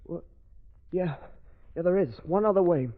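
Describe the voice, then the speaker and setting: A dull 1930s radio recording of a high male voice. It is an actor as an earnest young fiancé, brave but anxious, speaking with agitated, impulsive melodrama. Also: moderate pace, close to an everyday voice. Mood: anxious, determined. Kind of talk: 1930s radio drama acting.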